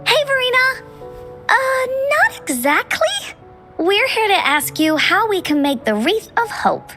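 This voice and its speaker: A woman voicing a cheerful, imaginative little girl in an anime-style video game, earnest and excitable. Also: extremely high pitch, a little slow, faint music behind, full character voice. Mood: eager.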